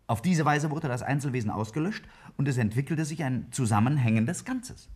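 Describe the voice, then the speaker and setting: Self-assured scientist, husky, wide-swinging delivery. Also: male voice, medium pitch, expressive, fairly quick, darkish tone. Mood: emphatic, certain. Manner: lecturing, emphatic, brusque. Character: brilliant, self-assured male scientist explaining a daring theory. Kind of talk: 1950s German radio drama acting. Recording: vintage 1950s radio play recording.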